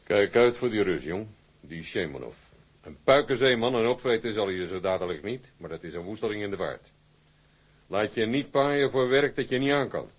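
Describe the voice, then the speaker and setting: Older skipper in a radio play, fatherly and warning, unhurried. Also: lowish voice, expressive, husky. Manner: warning, paternal. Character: fatherly old boat skipper, cautionary.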